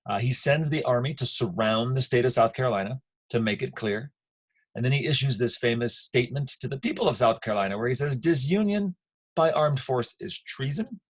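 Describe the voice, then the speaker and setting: A man's voice: mid-range voice, quick pace, breathy edge. An American man giving an informal history lecture as a teacher, conversational and emphatic, checking in with his listeners.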